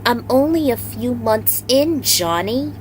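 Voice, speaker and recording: A woman's voice: a little high, lively intonation, slow, slight background noise, pitched above the performer's own voice, low-quality recording. A woman voicing a tough, tenacious female military general who talks frankly and with command.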